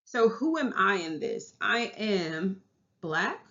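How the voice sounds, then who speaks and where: A woman's voice: mid-range voice, very animated, slow pace, sharp tone, lo-fi sound. Earnest Southern woman teacher presenting. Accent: Southern US English. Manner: earnest, repeats and restarts phrases. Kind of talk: class presentation.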